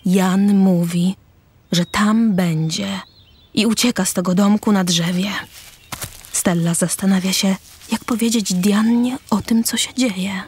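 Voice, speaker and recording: A woman's voice: mid-range voice, fairly even intonation, a little slow, a little mellow, husky. A woman reading scripted narration for an audio drama, describing the action as narrator. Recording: music under the voice. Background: music.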